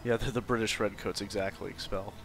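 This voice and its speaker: A man's voice: fairly low pitch, steady tone, a little fast, gravelly. A man streaming a role-playing game, chatting casually and explaining the story while searching for words.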